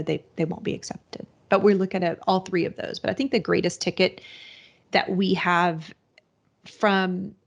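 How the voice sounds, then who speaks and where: A woman's voice: on the low side, creaky. A woman guest on a podcast, explaining her business in a rambling, casual way with many restarts and fillers. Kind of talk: casual interview answers.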